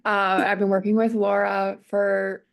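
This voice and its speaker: A woman's voice: medium pitch, completely flat delivery, a little slow, creaky. A woman client introducing herself on a group video call, cheerful and giggly. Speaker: coaching client.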